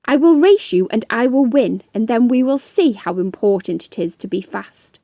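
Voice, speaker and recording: A woman's voice: on the high side, expressive, moderate pace, warm tone, dry recording, studio quality. A woman voicing a boastful, impatient young male ant who brags in a storybook reading. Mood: challenging. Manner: bragging, scornful.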